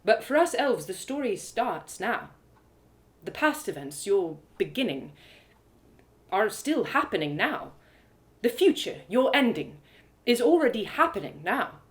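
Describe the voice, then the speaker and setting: A woman's voice: medium pitch, very animated, measured pace. A woman voicing a proud, spirited young female elf warrior who lectures emphatically in an audio drama. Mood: earnest, explaining.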